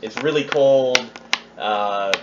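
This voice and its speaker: A man running a tabletop game as game master, describing scenes off the cuff with halting pauses. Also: mid-range voice. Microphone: phone recording the group. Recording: noisy muffled phone recording in echoey room.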